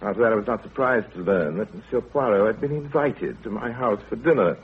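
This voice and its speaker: An actor playing a dry, pompous, irritable middle-aged village doctor, sardonic and clipped, in 1930s radio drama style. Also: male voice, on the low side, animated, fairly quick, slight background noise, close to an everyday voice. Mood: dry, wry. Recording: tinny, narrow 1930s radio broadcast.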